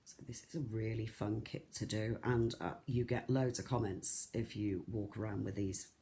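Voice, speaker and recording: Craft host, croaky and soft, chatty live demo with frequent fillers and restarts. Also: mid-range voice, fairly even intonation, normal speed, slight background noise. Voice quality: soft, not loud. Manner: chatty, rambling, lots of ums and restarts. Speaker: craft shop tutor. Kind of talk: live-streamed beading tutorial.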